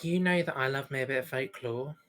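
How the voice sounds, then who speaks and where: A woman co-hosting a podcast in casual, teasing banter, quick to giggle. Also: extremely low pitch. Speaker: podcast co-host. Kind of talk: casual banter and review chat.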